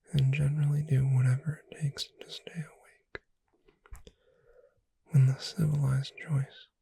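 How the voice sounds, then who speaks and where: A performer voicing a gentle witch bookseller in an ASMR roleplay, soothing a customer to sleep with an unhurried, breathy whisper. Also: medium pitch, no room sound, full character voice, poor audio. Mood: calm, reading aloud. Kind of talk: ASMR roleplay, reading aloud and describing books.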